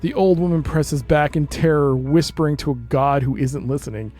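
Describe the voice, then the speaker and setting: A man's voice: on the high side, moderate pace, dark tone, faint music behind. A man streaming a game, reading its narration aloud and adding casual commentary.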